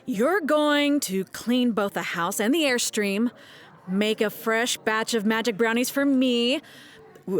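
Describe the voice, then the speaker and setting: A playful, free-spirited aunt with a slightly high voice. She teases fondly and sardonically in an audio comedy. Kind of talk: comedic audio drama dialogue.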